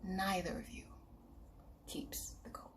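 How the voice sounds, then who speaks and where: A woman voicing a wise old elder in a told story, speaking in a hushed whisper. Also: moderate pace, close to an everyday voice. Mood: solemn.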